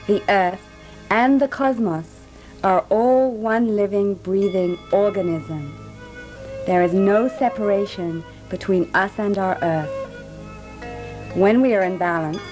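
Woman, documentary narrator, slightly low voice, calm and measured, soft music underneath. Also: low-quality recording. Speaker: healthcare practitioner.

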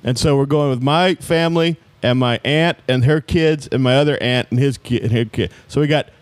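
A man's voice: mid-range voice, fairly quick, no echo. Profane storytelling man, dry close sound. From Texas. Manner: storytelling, profane, self-interrupting. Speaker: podcast co-host. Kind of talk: casual comedic chat.

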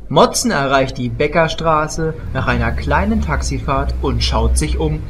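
A man reads scripted narration for a comedy radio play. His voice is somewhat high for a man. Clear background noise runs through the recording. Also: lively intonation, measured pace.

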